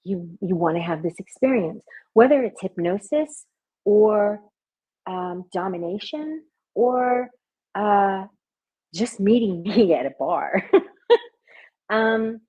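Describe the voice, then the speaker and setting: A woman with a gentle, soothing voice and a breathy, husky edge explains her hypnosis work in relaxed interview talk. Her pitch sits in an ordinary middle range for a woman. She laughs easily and sometimes sinks into a hushed, low murmur. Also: slow, narrow, tinny audio. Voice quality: soft, soothing. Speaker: hypnotist and party performer. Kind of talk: conversational interview answers.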